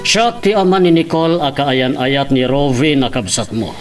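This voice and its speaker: A man's voice: mid-range voice, normal speed, faint music behind, close to an everyday voice. An actor playing a strict, disapproving father in a radio drama, stern and emphatic. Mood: firm, formal.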